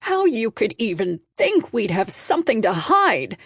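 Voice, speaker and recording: A woman voicing a sharp, controlling female publicist with a snappy, commanding manner in a storybook. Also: a little high, very animated, a little slow, husky, dry recording, studio quality, exaggerated voice. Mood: scornful, snapping.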